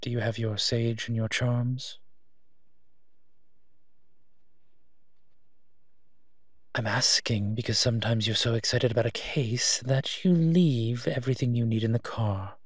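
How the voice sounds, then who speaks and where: A man playing a teasing, protective monster detective in human form, gentle and husky, in an intimate audio roleplay. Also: medium pitch, no room sound, studio quality, natural voice. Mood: confident, fussing. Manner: slow, teasing, tender endearments.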